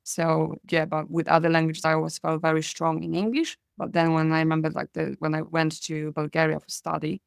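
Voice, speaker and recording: A woman's voice: deep voice, completely flat delivery, a little fast, creaky, hardly any pauses, smooth, no room sound, clean studio sound. A woman from Poland, hosting a podcast interview and asking long, chatty questions. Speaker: podcast host. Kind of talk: conversational interview.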